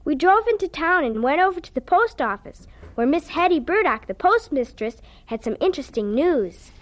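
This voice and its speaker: Radio drama: dreamy young farm girl played by a woman, earnest, high and lively. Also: moderate pace. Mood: dreamy, bright. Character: eager, daydreaming young farm girl, narrating and acting. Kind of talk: radio drama narration and dialogue.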